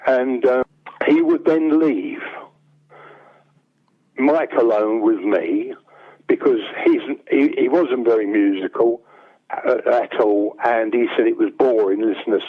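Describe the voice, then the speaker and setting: An older man with a bass-baritone voice, telling rambling stories as a songwriter guest. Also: slow pace. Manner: rambling anecdotes, acts out quoted dialogue. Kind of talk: casual storytelling. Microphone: telephone. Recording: tinny phone line.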